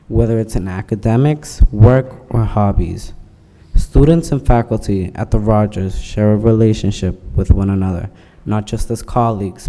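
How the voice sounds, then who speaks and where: A man reading a petition aloud, slowly and haltingly, at a public school committee meeting. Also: fairly low pitch, mellow. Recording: reverberant hall, distant from mic.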